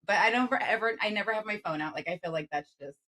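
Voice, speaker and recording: A woman at an everyday middle pitch co-hosts a casual podcast. She talks with eager enthusiasm, jumping in with agreement and an easy laugh. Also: fast, pushed and bright, rough recording. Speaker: podcast co-host.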